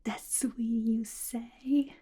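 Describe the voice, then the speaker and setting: A woman playing a young, playful, violent-minded female villain in an ASMR roleplay, gossiping to the listener in a chatty, conspiratorial breathy whisper. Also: a little high, fairly even intonation, very slow, heavily acted. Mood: playful, reassuring. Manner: chatty, conspiratorial, giggly, colloquial. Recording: clear background noise, soft clicks.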